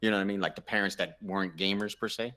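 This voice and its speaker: A man with a low voice and a bright, punchy tone chats casually as a gaming show co-host. He leans on his points and repeats phrases for emphasis. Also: fairly even intonation, racing. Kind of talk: casual panel chat.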